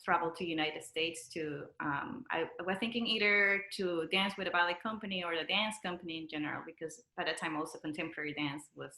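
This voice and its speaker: A woman from Peru with a Peruvian Spanish accent, speaking casually and haltingly as she searches for words. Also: low voice, steady tone, sharp tone. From Lima, Peru. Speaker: interview guest, dancer and choreographer. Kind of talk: casual conversational interview. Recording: roomy echo.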